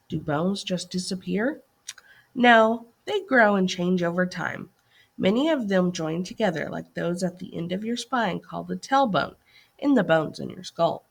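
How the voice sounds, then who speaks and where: A woman's voice: mid-range voice, vocal fry, breathy edge. A woman reading a scripted educational lesson aloud as a teacher, in an instructive way that poses questions to young listeners.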